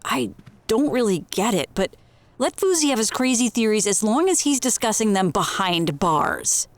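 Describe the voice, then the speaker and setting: An actress playing a concerned, determined small-town clinic worker in naturalistic audio drama style. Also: female voice, medium pitch, expressive, normal speed, no echo, lightly acted. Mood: puzzled, urgent.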